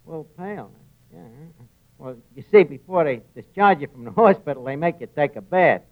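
A man's voice: fairly high pitch, animated, brisk, close to an everyday voice. An actor playing a boastful, wisecracking working-class tavern man with a New York accent in old-time radio comedy. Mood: wry. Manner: wry, wisecracking, slangy. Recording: tinny, narrow vintage radio recording.

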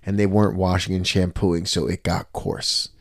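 A young man with a fairly low voice chats easily as a podcast co-host, recorded close and dry with no room sound. He tells stories with energy and drops into little voices whenever he quotes someone. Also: normal speed, dry recording. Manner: animated storyteller, acts out quotes in voices. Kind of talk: casual chat.

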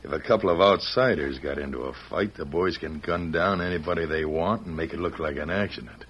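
A gruff, crooked saloon boss, low and blunt with slangy tough talk. Old-time radio western, muffled and a little noisy. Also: male voice, brisk, fairly bright tone. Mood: brisk, commanding. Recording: muffled old radio recording, background noise.

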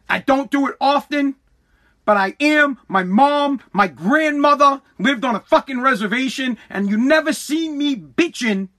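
A man's voice: extremely high pitch, pushed and bright. An American man hosting a podcast, delivering an opinionated solo rant in a combative, emphatic, repetitive and profane manner. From United States. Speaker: podcast host.

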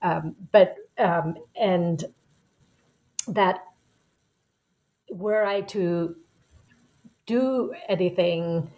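A woman in her sixties, a professor being interviewed as a guest, telling her story in a reflective, unhurried way. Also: on the low side, a little mellow, low-quality recording. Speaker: guest author, anthropology professor. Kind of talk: conversational interview answer.

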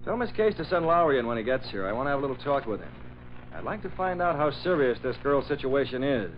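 A man acting a calm, authoritative, inquisitive newspaper editor in an old-time American radio drama. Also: mid-range voice, quick pace, faint music behind, close to an everyday voice. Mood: probing, thoughtful. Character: calm, probing male newspaper editor in charge. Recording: muffled old radio recording.

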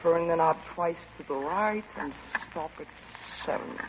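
Through a muffled, noisy old radio broadcast comes an earnest Soviet woman envoy played by an actress. She speaks slowly and solemnly, deadpan yet romantic, in vintage radio-play style. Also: on the low side, close to an everyday voice. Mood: weary, confiding.

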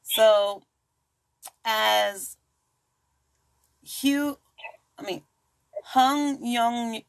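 A woman's voice: on the high side, drawn-out words, gravelly, low-quality recording. A woman hosting a true crime podcast in a casual, chatty way, full of asides and jokes. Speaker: podcast host.

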